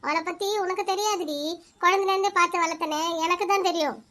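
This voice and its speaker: A woman voicing a sharp-tongued, defiant stepmother in a cartoon. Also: steady tone, quick pace. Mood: scornful. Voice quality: very high-pitched, shrill. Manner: snapping and argumentative. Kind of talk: cartoon voice acting.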